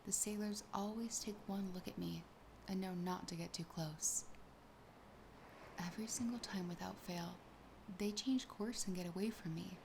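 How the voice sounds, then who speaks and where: A woman voicing a sassy, possessive, lonely siren in a soft, breathy, often whispered ASMR roleplay, slow, teasing and intimate. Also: medium pitch, fairly even intonation, background noise, natural voice. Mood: wistful, self-deprecating. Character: possessive, sassy, lonely female siren toying with her catch.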